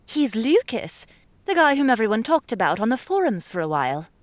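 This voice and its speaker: An actress playing a bold, imperious princess in an audiobook drama, brash and theatrical, with flowery, bossy delivery. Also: female voice, a little high, sing-song, a little fast, no room sound. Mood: flowery, admiring.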